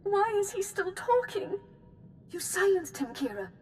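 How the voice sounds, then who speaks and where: An actress playing a tormented, unravelling young Sith woman in a video game, speaking in halting, desperate fragments. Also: extremely high pitch, big pitch swings, slight background noise, full character voice. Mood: haunted, pleading.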